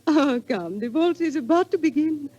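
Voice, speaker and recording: An actress playing a poised, gracious young Viennese princess with a formal manner, in 1940s radio drama style. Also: female voice, sits high, expressive, normal speed, highs cut off.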